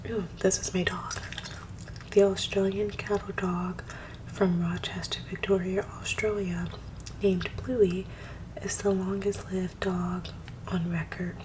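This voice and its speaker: A woman whispering soft-spoken ASMR at home, with low tones and a slight throat scratch, talking quickly and stumbling over hard words. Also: level tone, far from the mic, noisy background. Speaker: ASMR creator.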